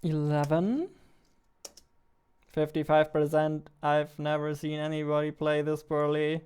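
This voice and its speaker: A man's voice: on the high side, level tone, measured pace, darkish tone, smooth. A man in his twenties chatting casually on a livestream as he works, muttering to himself and repeating phrases. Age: 20s. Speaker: game developer livestreamer. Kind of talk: casual livestream chat while working. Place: indoor room at a computer desk. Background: keyboard typing and mouse clicks.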